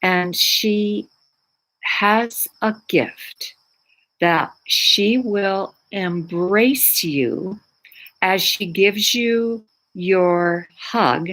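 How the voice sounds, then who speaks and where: A woman from Poland, whose first language is Polish, talking casually about her crystals as a spiritual teacher, pausing often for words. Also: lowish voice, a bit bright, low-quality recording. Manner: unhurried, searching for words with many fillers. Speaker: spiritual teacher and Reiki practitioner.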